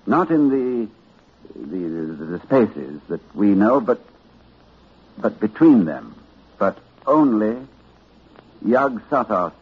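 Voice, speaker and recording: Dramatic, shaken scholar on old radio. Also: male voice, on the low side, breathy edge, exaggerated voice. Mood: guarded, uneasy. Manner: hesitant, dramatic, cultured. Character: learned, shaken university librarian doctor recounting horror. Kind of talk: 1940s radio acting, suspense narration. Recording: dull old radio recording with music.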